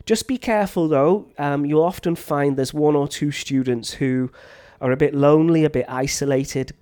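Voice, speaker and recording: A man's voice: on the high side, normal speed. Man with a slight chest cough. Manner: relaxed, unscripted, frequent ums and restarts. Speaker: science teacher. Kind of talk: teacher training talk.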